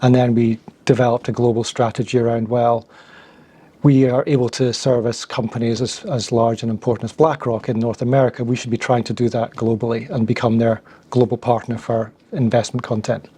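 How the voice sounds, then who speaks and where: A middle-aged man from Scotland with a Scottish accent, answering interview questions in a relaxed way and often repeating words as he thinks. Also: medium pitch, a little fast, slightly rough. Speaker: guest, agency founder.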